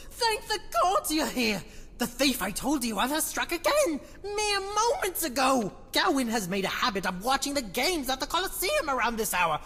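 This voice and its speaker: A woman's voice: sits high, pushed and bright, exaggerated voice. A woman playing an earnest, urgent investigator in a fan-dubbed fantasy game. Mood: distressed. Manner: urgent, dramatic. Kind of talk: fan-dub video game acting. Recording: long hall-like reverb.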